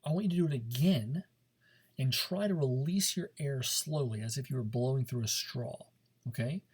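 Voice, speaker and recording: Husky, mellow male teacher. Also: medium pitch, normal speed. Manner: informal, coaching, checks understanding with frequent "okay" and "right". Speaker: speech class teacher. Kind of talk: instructional class lesson.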